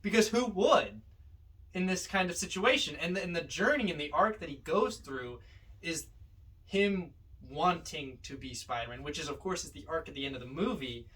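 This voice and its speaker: Male podcast co-host, slightly high bright voice, talking movies casually from off the mic. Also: lively intonation, moderate pace. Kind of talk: casual film discussion. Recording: distant mic.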